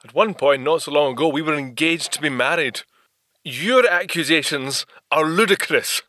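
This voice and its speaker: An actor playing a reserved, courteous 1930s gentleman inventor with a British accent in an audio drama, speaking politely and formally. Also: fairly high pitch, normal speed, dry recording, studio quality. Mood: coolly indignant. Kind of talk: full-cast audio drama acting.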